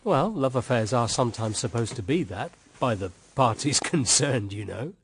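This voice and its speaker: An actor with a British accent playing a weary, embittered husband in a radio drama, wry yet tenderly affectionate. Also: male voice, medium pitch, expressive, normal speed, a little hiss or hum, close to an everyday voice. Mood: wry teasing. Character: weary, embittered husband, tender toward his young sister-in-law.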